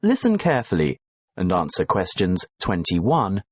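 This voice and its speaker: A man reading the instructions of a recorded English listening exam in a clear, measured way. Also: sits low, wildly swooping pitch, no room sound. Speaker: exam narrator and actor. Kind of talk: scripted recorded listening test.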